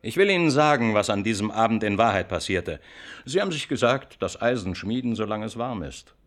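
A police interrogator in a German radio play. He has a lowish voice and leans on the suspect insistently, colloquial and needling, as ready to threaten as to cajole. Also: expressive, brisk, fairly bright tone. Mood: menacing, pressing. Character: pushy, cajoling male police interrogator, by turns threatening and chummy.

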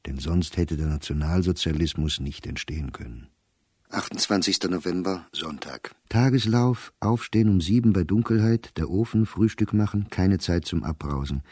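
An actor playing a weary, gloomy middle-aged diarist, reading in a measured, sober way for a radio drama. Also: male voice, deep voice, a little mellow, husky, no echo, clean studio sound. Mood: sober, brooding.

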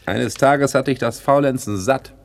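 A man's voice: mid-range voice, normal speed, creaky, natural voice. An actor playing a slick, self-important small-time crook turned businessman, pompous and self-satisfied, in a radio play. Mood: boastful. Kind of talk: 1960s German radio play acting.

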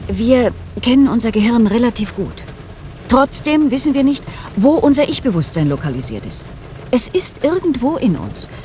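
Insistent female doctor, explanatory, lively pitch swings, radio play, slight background noise. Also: moderate pace. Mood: urgent, earnest. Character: earnest, probing female doctor with bold theory. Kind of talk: German radio play acting.

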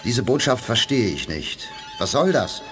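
A blunt, impatient crewman, voiced by, talks with a lively swing in pitch. Music is clearly heard behind him in this radio drama. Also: unhurried. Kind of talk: 1980s German radio play acting.

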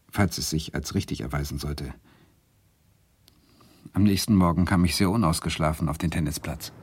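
An actor playing a wry, self-doubting Swedish literature lecturer who narrates a radio drama in a reflective, deliberate way. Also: male voice, low voice, a little mellow, slightly rough, no room sound. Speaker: narrator and lead character.

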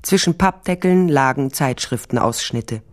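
A woman's voice: extremely low pitch, animated, measured pace. An actress playing a determined, probing female private detective and ex-policewoman in a German radio crime drama. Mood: tense, brooding. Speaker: lead actor and first-person narrator.

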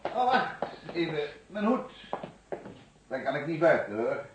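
A man's voice: a little high, very animated, unhurried, noisy background. An actor playing a courteous, sociable male insurance representative in a Dutch radio play, speaking formally and chattily with little tag questions. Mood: cordial, businesslike.

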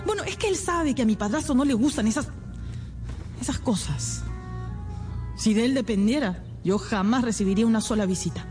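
A woman's voice: on the high side, expressive, moderate pace, pauses often, a little mellow, faint music behind, natural voice. An actress playing an anxious, naive and devoted young woman in a radio drama, speaking earnestly with hesitant repetitions. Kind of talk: Spanish-language radio drama acting.

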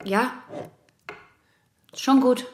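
A woman playing a wary, defiant eighteen-year-old patient in a radio play, terse and guarded, with a husky, creaky voice. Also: high voice, drawn-out words, a little hiss or hum. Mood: defiant, sullen. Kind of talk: German radio play acting, naturalistic dialogue.

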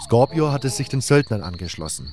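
A man's voice: mid-range voice, big pitch swings, brisk. A man reading scripted first-person narration for a fantasy audio drama. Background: music.

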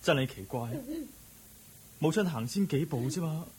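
An actor playing an anxious, dutiful grown son in a Hong Kong Cantonese radio drama, urgent and worried. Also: male voice, a little high, lively intonation, moderate pace, breathy edge. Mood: puzzled.

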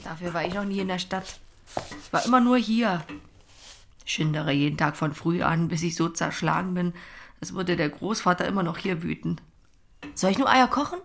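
A woman's voice: lowish voice, expressive, moderate pace, a little hiss or hum. An actress playing a hardy, lonely farm woman in a German audio drama, blunt and plain-spoken. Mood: matter-of-fact.

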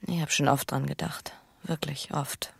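An actress playing a bitter, passionate, scheming young wife in a naturalistic radio drama, speaking with urgent intimacy and slipping into whispers. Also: female voice, sits low, steady tone, normal speed, vocal fry, husky, no echo, heavily acted. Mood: brooding, coaxing.

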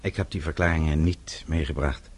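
An actor playing a vengeful middle-aged father in a radio play, speaking in a measured, deliberate and formal way. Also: extremely low pitch, steady tone, darkish tone, husky. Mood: calm triumph. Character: vengeful father, composed, coldly methodical older man.

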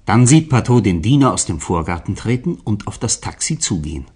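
Male radio-play narrator: deep-leaning, rough-edged voice, expressive scripted storytelling. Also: brisk.

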